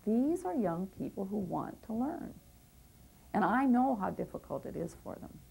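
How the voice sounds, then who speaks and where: A woman's voice: medium pitch, very animated, normal speed, gravelly, warm tone, husky, poor audio. A woman advocate and teacher speaking earnestly and with passion in a documentary interview, sometimes restarting her sentences.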